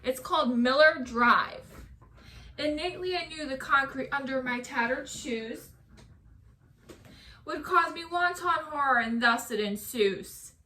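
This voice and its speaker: Woman, high bright voice, animated, playfully exasperated, author chatting and reading verse. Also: a little slow, slight background noise. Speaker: author reading own poem. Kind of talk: casual chat and poem reading to camera.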